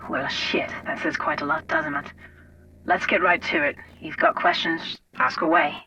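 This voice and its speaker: A woman's voice: high-pitched, big pitch swings, moderate pace, vocal fry, bright, forceful tone, a little hiss or hum, full character voice. A woman playing a cool, dryly teasing intelligence source in an audio drama, speaking frankly and familiarly. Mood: businesslike. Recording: distant, dull lo-fi sound under music.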